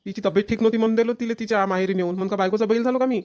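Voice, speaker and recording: Man voicing a very fast-talking, put-upon son. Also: high voice, steady tone, a little mellow, lightly acted. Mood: defensive. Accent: Vidarbha Marathi. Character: put-upon, defensive married adult son. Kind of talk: amateur cartoon dialogue.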